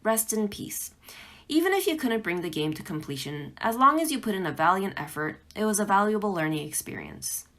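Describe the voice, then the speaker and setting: A woman's voice: mid-range voice, big pitch swings, fairly quick, a bit bright. A woman presenting a pre-recorded instructional talk as a game developer, speaking in an emphatic, encouraging way.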